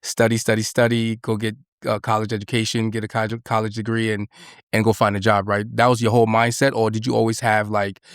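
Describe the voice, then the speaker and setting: A man's voice: fairly low pitch, flat delivery, quick pace, clear, resonant tone, no room sound, clean studio sound. A man hosting an interview, asking questions in a casual, brotherly way. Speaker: host.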